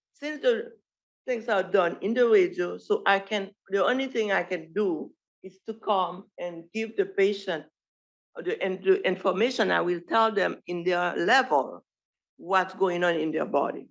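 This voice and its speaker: A middle-aged physician from Haiti with a Haitian accent, giving an informal, halting presentation full of restarts. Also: medium pitch, gravelly, muffled recording. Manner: halting, with frequent restarts and self-corrections. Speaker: physician presenting a residency program. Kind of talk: informal presentation at an online showcase.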